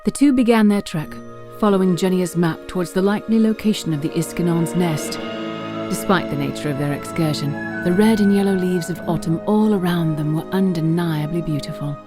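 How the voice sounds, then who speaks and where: A woman's voice: fairly low pitch, a little fast, music in the background. A woman narrating a scripted fantasy audio drama as a storyteller.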